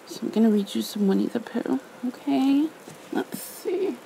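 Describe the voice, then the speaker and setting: A woman speaking in a hushed, tender, nurturing way, as in an ASMR bedtime roleplay and reading. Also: fairly high pitch, animated, moderate pace, vocal fry, rough recording. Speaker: ASMR roleplayer, storybook reader. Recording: faint rain-like background noise.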